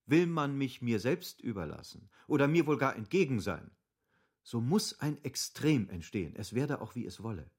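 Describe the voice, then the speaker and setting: A man's voice: medium pitch, sing-song, normal speed, slightly rough. An actor playing an impulsive, obstinate nobleman in a German literary radio drama, with formal, emphatic rhetorical phrasing. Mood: defiant. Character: impulsive, obstinate wealthy nobleman pleading with his friend.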